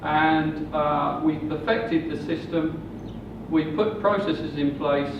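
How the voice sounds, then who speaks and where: A man in his sixties giving a measured, slow sales presentation about property investing. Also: on the high side. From England. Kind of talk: seminar presentation. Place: hotel function room. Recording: muffled, distant, echoey room with noise.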